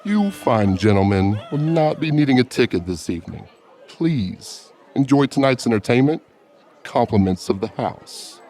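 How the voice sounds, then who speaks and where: Big no-nonsense bouncer, played by a man: mid-pitched, very varied intonation, audiobook drama. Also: lively intonation, normal speed, dark tone, some chatter behind, close to an everyday voice. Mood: courteous.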